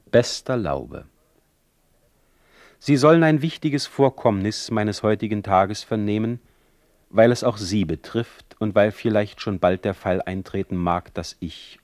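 A man's voice: on the low side, no echo. An actor playing an older, sickly, jealous and eloquent male poet in a radio play, reading out his formal letters with ornate, theatrical emphasis. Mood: solemn.

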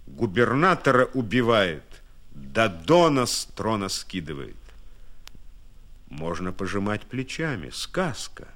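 A man narrating a scripted radio drama in a measured, literary way, with dramatic pauses. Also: on the low side, a bit bright.

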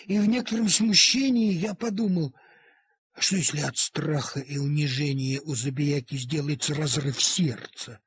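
A man reading a literary animal story aloud in a theatrical, deliberate manner with dramatic pauses. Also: a little high, slightly rough, no room sound. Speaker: storyteller, audiobook reader. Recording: cassette transfer of audio play.

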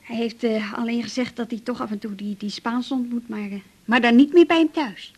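A woman's voice: on the high side, fairly quick, warm tone, husky. An actress playing a chatty, lovestruck but resigned woman patient, confiding and hesitant, in a naturalistic radio drama.

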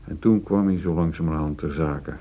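An actor playing a troubled middle-aged factory worker, defensive and brooding, in a radio play. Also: male voice, extremely low pitch, moderate pace. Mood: defensive, uneasy. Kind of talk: Dutch radio play acting. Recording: dull, muffled old radio play recording.

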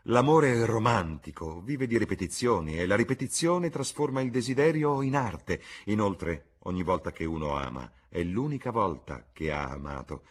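A man's voice: fairly low pitch, very animated, moderate pace, hardly any pauses. A man voicing an urbane, cynical aristocratic lord who pontificates in epigrams, as part of an audiobook. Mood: philosophising.

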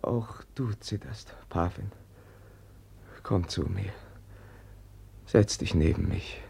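An actor in a 1950s radio drama playing a gentle, trembling young prince keeping vigil, speaking hushed and halting. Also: male voice, deep voice, gravelly, a little hiss or hum, full character voice. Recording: old 1950s mono radio recording.

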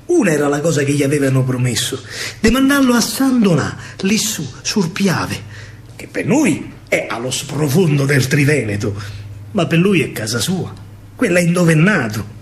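Animated high-voiced Roman man, theatrical storyteller. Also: a little hiss or hum. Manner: dramatic, colloquial, expressive. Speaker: stage actor and storyteller. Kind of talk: theatrical verse monologue narration in Roman dialect.

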